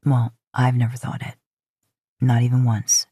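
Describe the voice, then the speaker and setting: Casting: gruff, teasing young guy played by a woman, low-pitched, protective, close dry sound, audio fiction drama. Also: completely flat delivery, measured pace, mellow, no room sound, heavily acted. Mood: firm, baffled. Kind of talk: acted dialogue in audio fan fiction.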